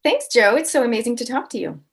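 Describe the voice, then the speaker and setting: A woman's voice: a little high, lively intonation, fast talker, creaky, breathy edge. A middle-aged woman from Canada with a Canadian accent, a guest talking casually in an interview, upbeat and full of fillers and restarts. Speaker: guest, impact coach.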